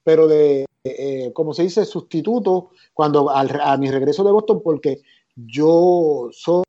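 A middle-aged man with a Puerto Rican accent, talking through his career at an easy, reflective pace. His voice sits a bit high but sounds mellow and dark. The recording is a little dull and lo-fi. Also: a little slow. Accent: Puerto Rican Spanish. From Puerto Rico. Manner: relaxed, reflective, many fillers. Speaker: musician and arranger, guest. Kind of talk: interview answers, storytelling.

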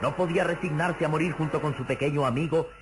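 An actor as a grave, suspenseful narrator of a vintage radio serial, florid and dramatic. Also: male voice, on the high side, fast talker, natural voice, low-quality recording. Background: dramatic music.

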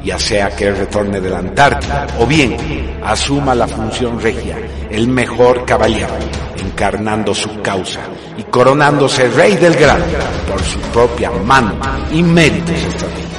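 A man's voice: medium pitch, unhurried. A man narrating a radio drama from a script in a grave, declamatory manner. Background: prominent music.

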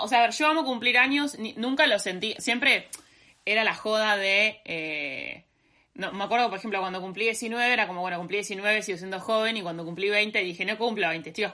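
Bright, wry Argentine woman. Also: mid-range voice, moderate pace. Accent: Rioplatense Spanish. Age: 20s. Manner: wry, self-deprecating, playful. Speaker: podcast co-host. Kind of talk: casual chat between friends.

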